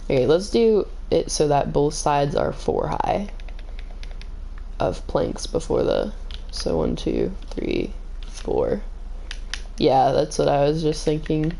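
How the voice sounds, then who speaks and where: A woman streaming a game, chatting casually with viewers, her voice a little hoarse and scratchy, kept calm and low. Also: slow pace, long pauses. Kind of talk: casual gaming stream chat.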